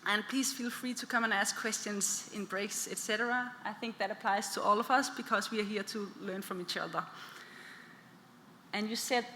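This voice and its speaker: A woman's voice: medium pitch, steady tone, normal speed, pushed and bright, slight background noise. A woman speaking English with a Danish accent, a geneticist giving a plain, simple conference presentation. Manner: plain, simplifying explanations for lay listeners. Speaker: clinical geneticist panelist. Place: large conference hall. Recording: reverberant hall with faint ambience.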